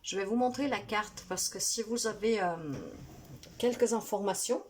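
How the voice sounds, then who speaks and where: A woman spiritual healer speaking to her viewers in a soft, earnest, halting way, full of fillers, and sounding tired. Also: medium pitch, fairly even intonation, slight background noise. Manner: hesitant, halting, many fillers. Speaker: spiritual healer and card reader. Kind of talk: casual monologue to viewers.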